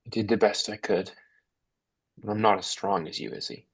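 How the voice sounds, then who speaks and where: A man's voice: on the low side, normal speed, gravelly, husky. A man playing a guilt-ridden, grieving father, sombre and confessional, in a dramatic table read. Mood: sorrowful.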